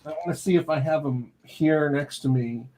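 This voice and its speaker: A man's voice: a little high, normal speed, a loud crowd, poor audio. A man appearing as a guest game designer on a casual livestream, blunt and wry in his opinions.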